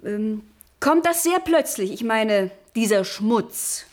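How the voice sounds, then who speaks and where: A woman's voice: a little high, lively intonation, slow. A woman playing an inquisitive traveller in a radio play, wry and probing in the way she asks questions. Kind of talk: German radio play acting.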